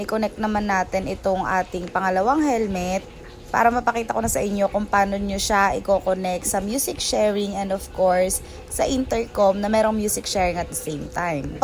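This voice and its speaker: Filipino woman, casual tutorial, noisy recording. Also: mid-range voice. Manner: informal, addresses viewers directly. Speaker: product tutorial vlogger. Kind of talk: casual hands-on product tutorial in Taglish.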